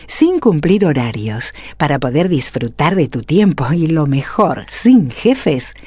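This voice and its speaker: A woman's voice: deep voice, warm tone, breathy edge, dry recording. A woman with an Argentine accent reading scripted radio commercials as an announcer.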